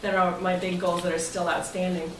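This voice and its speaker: A woman's voice: fairly low pitch, flat delivery, fairly quick. American woman addressing a meeting, echoey and distant. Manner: jokey, breaking into chuckles. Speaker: writers' club president and meeting host. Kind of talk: informal speech to a club meeting, part read from prepared text. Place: indoor club meeting room. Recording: echoey, noisy room, distant mic.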